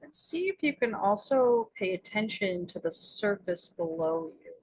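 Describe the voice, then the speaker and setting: A woman leading a guided meditation as its guide, speaking in gentle, soothing invitations with long pauses. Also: medium pitch, lively intonation, slight background noise, poor audio.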